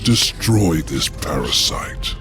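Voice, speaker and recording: Very gravelly, imperious demon lord. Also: male voice, very deep voice, very animated, music in the background, exaggerated voice. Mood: commanding, contemptuous. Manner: grand, commanding, deliberate. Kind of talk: video game character acting.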